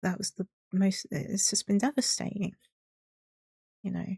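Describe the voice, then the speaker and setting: A woman's voice: medium pitch, measured pace, creaky, dark tone, slightly rough, no echo, studio quality. A woman giving an unscripted personal update to her followers in a candid, rambling, hesitant way. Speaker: streamer and video creator.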